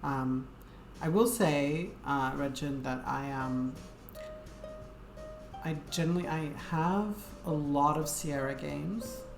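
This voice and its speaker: A woman's voice: deep voice, takes it slowly, vocal fry. A woman game streamer chatting casually to viewers in a rambling, hesitant way, with lots of fillers. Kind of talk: casual live-stream chat. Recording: echoey room with game music.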